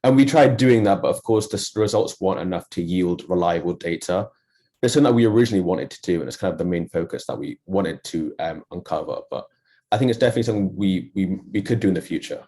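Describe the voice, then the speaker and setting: A young man with a very low, mellow voice fields questions as a student presenter. He speaks informally and a little tentatively, keeping a dark, soft-edged tone. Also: fast talker. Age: young adult. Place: online video call.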